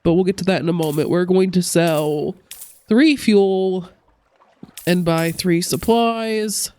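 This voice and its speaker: A woman's voice: lowish voice, a little mellow, no room sound. A woman with a congested, recovering-from-a-cold voice, chatting casually as she plays a game and thinks aloud. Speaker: let's play host. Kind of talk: casual gameplay commentary.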